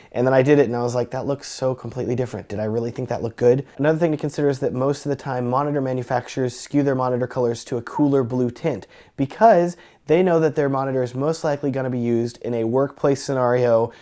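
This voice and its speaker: A young man with a slightly raspy, cold-roughened voice, teaching color grading in a chatty, joking tutorial. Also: medium pitch, dark tone. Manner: joking, chatty, self-deprecating. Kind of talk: casual tutorial talk.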